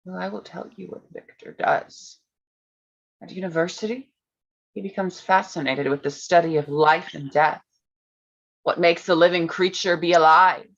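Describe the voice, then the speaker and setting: A woman's voice: on the low side, moderate pace, muffled recording. A woman playing Mary Shelley as an intense, teasing storyteller in a staged reading, pointed and suspenseful. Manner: suspenseful, pointed, shifting into hushed tones. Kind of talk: dramatic staged reading of a gothic tale.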